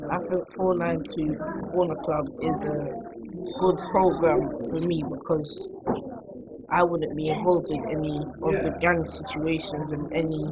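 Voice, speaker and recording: A woman's voice: deep voice, flat delivery, measured pace. A woman with a London accent giving a plain self-introduction. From South London, England. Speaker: radio drama cast member. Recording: very loud background noise.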